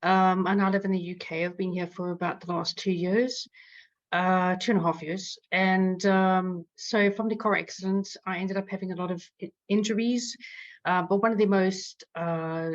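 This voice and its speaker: A woman with a South African accent, a patient advocate speaking on a panel from an online call, measured and hesitant with many fillers. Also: on the low side, fairly even intonation, lo-fi sound. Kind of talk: panel discussion, joining online. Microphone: video call.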